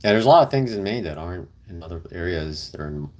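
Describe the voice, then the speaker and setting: Brief: young male streamer, low-ish voice, relaxed rambling chat with fillers. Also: quick pace. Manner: rambling, easygoing, many fillers. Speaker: livestream host. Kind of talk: casual livestream chat reading comments. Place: car camping, indoors with partner.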